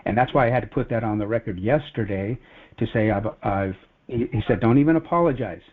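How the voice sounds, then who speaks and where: A man's voice: on the low side, fairly quick, breathy edge. Guy on a phone call, earnest, kinda rambling, really narrow phone sound. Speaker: conference call participant. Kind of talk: personal account of a court case, casual. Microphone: telephone conference call. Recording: phone-like conference call audio.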